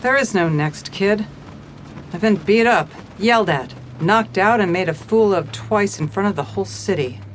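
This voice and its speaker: A woman's voice: mid-range voice, normal speed, a little hiss or hum, natural voice. An actress playing a hard-boiled, weary female police commissioner in a noir audio drama, with a wry, clipped delivery. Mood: bitter, defeated. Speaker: narrator and lead character.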